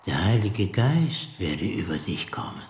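An actor playing a solemn, mild angel of annunciation in a radio play, reciting in a measured biblical manner. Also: male voice, deep voice, big pitch swings, a little mellow. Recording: roomy echo, faint background noise.

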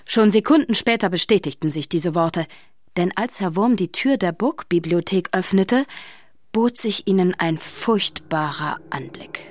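A woman's voice: fairly low pitch, expressive, measured pace, a little mellow, dry recording, clean studio sound. A woman narrator telling a scripted story in a children's radio play. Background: music.

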